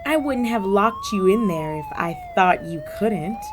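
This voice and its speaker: A woman's voice: mid-range voice, huge pitch swings, background noise. A woman playing a flirty, cunning cat burglar villain in a roleplay audio drama, speaking coyly and teasingly to the listener. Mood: cheeky, bargaining. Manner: coy, teasing, drawn-out phrasing with terms of endearment.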